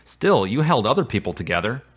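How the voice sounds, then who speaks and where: A man's voice: medium pitch, big pitch swings, fairly quick, breathy edge. An actor playing a supportive, slightly awkward man in a radio drama, speaking earnestly and a little formally. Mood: earnest, consoling. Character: Derek, earnest, supportive, awkward male friend. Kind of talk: serial radio drama acting.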